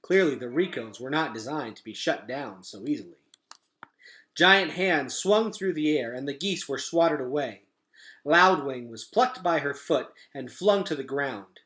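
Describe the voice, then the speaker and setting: Bright, forceful male storyteller. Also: fairly high pitch, expressive, unhurried. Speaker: read-aloud storyteller for children. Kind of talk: expressive scripted storybook narration.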